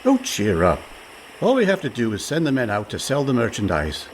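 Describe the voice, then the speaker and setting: A man's voice: mid-range voice, huge pitch swings, fairly quick, a little hiss or hum. An actor playing a cheerful, scheming and overconfident con man in a comic radio play, breezy and persuasive.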